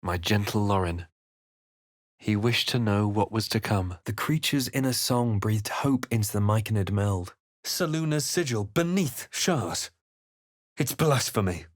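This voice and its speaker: An actor playing a grave, measured fantasy adventurer in a video game, narrating with solemn, deliberate theatricality. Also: male voice, fairly low pitch, dry recording, clean studio sound, lightly acted. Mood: wry, curt. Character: measured, grave male fantasy adventurer recalling his journey. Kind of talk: scripted game narration and character lines.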